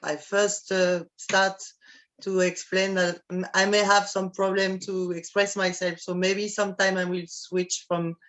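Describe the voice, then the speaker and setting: A woman from France with a French accent, speaking English as a guest on an interview and often pausing to search for words. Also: mid-range voice, level tone, a bit bright. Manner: halting, searching for words with many restarts. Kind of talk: casual interview.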